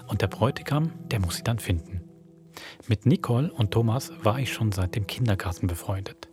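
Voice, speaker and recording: Male podcast host, low voice, scripted horror-show introduction, music underneath. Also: expressive, brisk. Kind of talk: scripted narration introducing a horror audio drama.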